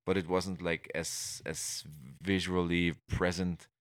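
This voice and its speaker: Middle-aged austrian guy, really low voice, dry close sound, keeps restarting his sentences. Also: little pitch movement, slow, no echo. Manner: rambling, many restarts and fillers. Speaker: guest. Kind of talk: conversational interview.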